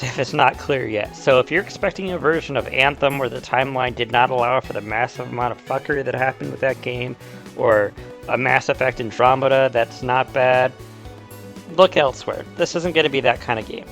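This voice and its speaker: Gravelly-edged man narrating a casual video essay. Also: mid-range voice, fairly bright tone. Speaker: YouTube host.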